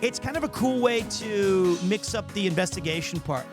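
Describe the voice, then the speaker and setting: A man's voice: sits high, expressive, normal speed. A man chatting casually as a game streamer during a livestream, commenting on play. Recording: loud game music behind.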